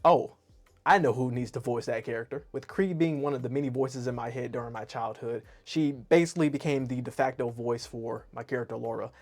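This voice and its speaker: A man's voice: medium pitch, a little fast, darkish tone. A man reviewing his year to camera in a casual, rambling, self-deprecating way. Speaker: YouTube artist and animator.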